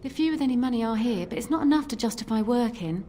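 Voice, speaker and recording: A woman's voice: fairly high pitch, lively intonation, fast, dark tone. An actress playing a tired young barmaid who is guarded and resentful of her boss. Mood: weary. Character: weary young barmaid at a tavern. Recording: game audio over music. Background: game music.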